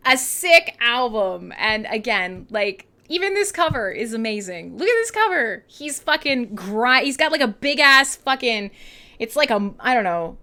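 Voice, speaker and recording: A Canadian woman hosts a loose, irreverent music radio show. Her voice sits a little high and has a bright, forceful edge. She rambles playfully and excitably, swinging widely in pitch and swearing freely. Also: unhurried. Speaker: radio host and metal DJ. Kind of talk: casual, irreverent live radio chat. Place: her own home-style studio.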